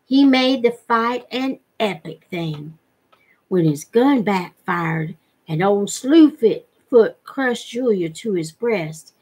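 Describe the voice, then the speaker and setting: A middle-aged woman reading a novel aloud in a measured, expressive storytelling manner. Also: a little high, breathy edge, poor audio. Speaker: reader. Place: living room at home. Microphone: webcam at desk distance.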